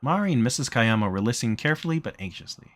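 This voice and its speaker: A man's voice: medium pitch, lively intonation, rapid-fire, fairly bright tone. A man reading a visual novel aloud in a casual way, acting out bits of dialogue as he narrates. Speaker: let's player reading game text aloud.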